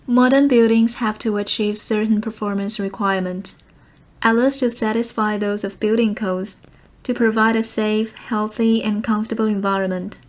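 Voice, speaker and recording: A woman reading short study texts aloud in a measured, formal manner. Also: mid-range voice, fairly even intonation. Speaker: reader of practice passages.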